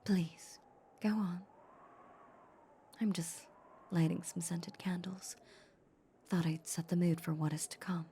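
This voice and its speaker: A woman playing a seductive, possessive female vampire in a breathy, whispered ASMR audio roleplay, lingering and teasing on her words. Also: lowish voice, steady tone, takes it slowly, pauses often, mellow, slight background noise. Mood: coolly polite. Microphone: close microphone.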